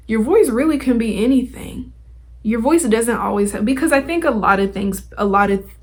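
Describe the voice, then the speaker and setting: Husky-voiced woman podcast host. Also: mid-range voice. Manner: informal and slangy, emphatic, conversational. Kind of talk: casual motivational talk, direct to listeners.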